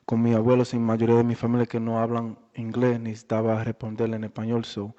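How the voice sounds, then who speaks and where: A man's voice: mid-range voice, completely flat delivery, fast talker, mellow. A man with a Dominican accent in Spanish, answering interview questions conversationally as a boxer and guest. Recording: echoey, muffled room recording.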